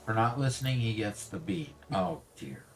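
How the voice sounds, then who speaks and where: A man's voice: lowish voice, animated, moderate pace. A man co-hosting a gaming livestream, chatting casually, playful and easily flustered. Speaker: livestream co-host. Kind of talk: casual chat while gaming.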